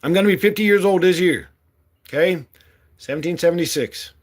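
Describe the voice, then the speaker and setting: Blunt fired-up man, higher voice. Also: brisk. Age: 40s. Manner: blunt, swearing, fiery. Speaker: political candidate. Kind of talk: impassioned monologue.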